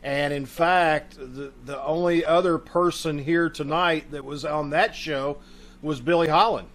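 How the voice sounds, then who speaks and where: A man's voice: a little high, steady tone, a little slow, fairly bright tone. A man, host of a community theater troupe, talking casually to the audience over a video call. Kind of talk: casual welcome talk to an audience.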